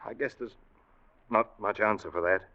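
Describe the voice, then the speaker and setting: Male actor as grave ship's officer, lowish voice, dramatic storyteller, old radio drama, dull vintage recording. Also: moderate pace, close to an everyday voice. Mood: gently consoling. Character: grave, loyal ship's officer recalling a haunted voyage. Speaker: radio actor, first-person narrator.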